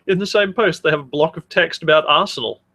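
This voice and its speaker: Higher-voiced Australian man, joking podcast co-host. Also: fast, sharp tone. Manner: joking, sweary. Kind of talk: casual podcast banter.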